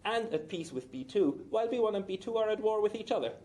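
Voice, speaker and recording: A man's voice: high-pitched, animated, brisk, a crowd in the background. A man lecturing in public, explaining spontaneously and often repeating phrases as he goes. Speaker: academic lecturer.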